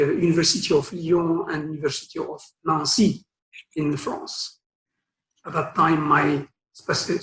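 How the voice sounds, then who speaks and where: A man in his sixties from Morocco, a retired guest speaker telling his career story with many pauses and fillers. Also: fairly high pitch. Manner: hesitant, many fillers and restarts. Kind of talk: career talk. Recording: echoey room.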